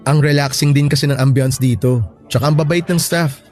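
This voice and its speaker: A man's voice: mid-range voice, fairly even intonation, a little fast, darkish tone, close to an everyday voice. A man playing a devoted, sentimental boyfriend in an audio love drama, talking in an affectionate, conversational way. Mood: fond, chatty. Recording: music bed behind the voice.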